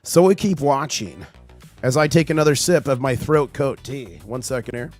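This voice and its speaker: A man hosting a live market stream in a chatty, excitable way, reading viewer comments aloud while his voice recovers from being lost. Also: mid-range voice, normal speed, faint music behind. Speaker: livestream host.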